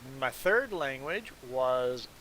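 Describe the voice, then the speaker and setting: A man's voice: mid-range voice, lively intonation, takes it slowly, bright, forceful tone, slight background noise, rough recording. A man, an English speaker, chatting casually and self-deprecatingly with viewers as a game streamer. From Belize. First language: Plautdietsch (Mennonite Low German). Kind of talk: casual live-stream chat.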